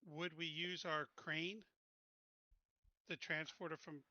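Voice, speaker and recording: A man playing a tabletop roleplaying game, talking casually and haltingly as he gropes for words. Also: a little high, level tone, bright, forceful tone, no echo, muffled recording.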